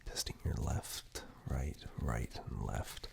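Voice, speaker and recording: A man doing soft-spoken, half-whispered ASMR roleplay, reassuring and unhurried, speaking directly to the listener close to a binaural microphone. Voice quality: breathy whisper mixed with very deep, gravelly murmur. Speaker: ASMR performer playing caregiver and eye examiner roles.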